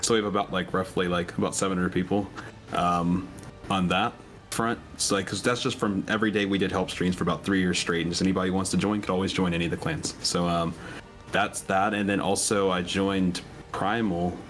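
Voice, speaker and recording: Laid-back young man with a very deep voice. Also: steady tone, fairly quick, fairly bright tone, soft background music. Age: 20s. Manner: easygoing, rambling with many fillers and restarts. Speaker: podcast guest, content creator. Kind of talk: casual chat.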